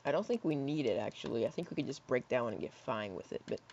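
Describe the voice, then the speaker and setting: A hoarse young man in his teens rambling casually while playing a building game, with lots of fillers and soft mutters. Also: mid-range voice, lively intonation, fast, a little hiss or hum. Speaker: gaming YouTuber. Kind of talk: casual gameplay commentary. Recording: slightly dull lo-fi mic.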